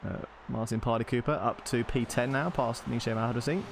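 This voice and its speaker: A young man commentating an online racing league in a relaxed, chatty, self-deprecating way. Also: medium pitch, brisk, gravelly, noisy background.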